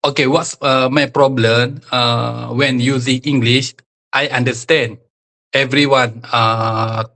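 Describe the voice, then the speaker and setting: Halting Malaysian man, very slow speaker. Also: medium pitch, level tone, bright, forceful tone. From Selangor, Malaysia. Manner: hesitant, halting, searching for words. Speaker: student in an English class. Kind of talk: self-introduction in simple learner English.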